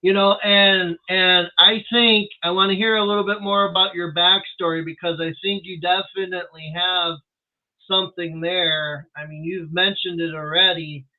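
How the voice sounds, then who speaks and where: A man's voice: high voice, flat delivery, moderate pace. Tinny-sounding male interview host. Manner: friendly, encouraging. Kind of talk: interview, casual chat. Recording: tinny phone-like line.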